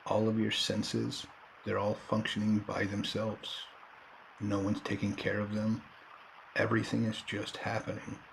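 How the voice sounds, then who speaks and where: A man leading a guided meditation in a calm, unhurried manner, leaving long pauses between short phrases. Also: on the low side, vocal fry, slight background noise, low-quality recording. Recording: background nature ambience and birdsong. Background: nature and bird sounds.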